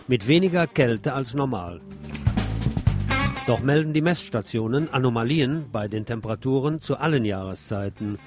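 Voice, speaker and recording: A man's voice: medium pitch, darkish tone. Male news presenter over prominent music. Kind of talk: scripted news narration.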